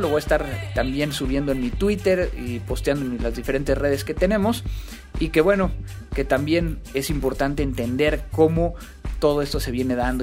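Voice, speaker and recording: A man's voice: mid-range voice, a little mellow. A man, hosting a podcast and talking casually, with frequent fillers and restarts. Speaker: podcast host.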